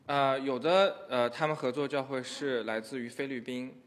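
A man's voice: medium pitch, fairly even intonation, moderate pace. A man interpreting a sermon into another language in a church hall, hesitant and full of fillers. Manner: hesitant, many filler sounds. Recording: echoey hall.

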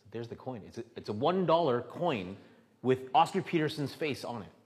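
A man from Canada preaching in a church hall, pausing phrase by phrase for an interpreter. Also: mid-range voice, expressive. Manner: halting, stops often for interpretation. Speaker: guest preacher. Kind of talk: sermon with live interpreter. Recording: echoey hall.

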